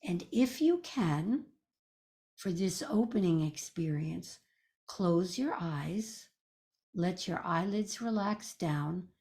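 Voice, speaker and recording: A woman leading a guided meditation as a teacher, speaking in a gentle, soothing, measured way with pauses. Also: fairly low pitch, expressive.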